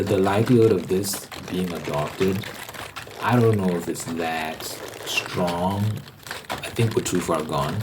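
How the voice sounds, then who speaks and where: A man hosting a rambling, opinionated ASMR monologue, haltingly repeating phrases for emphasis. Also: low voice, noisy background. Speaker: ASMR host.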